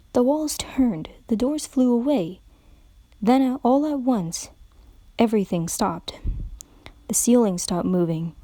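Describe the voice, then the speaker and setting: A woman's voice: medium pitch, mellow, dry recording. A woman giving a brooding, dreamlike dramatic reading of a story as narrator.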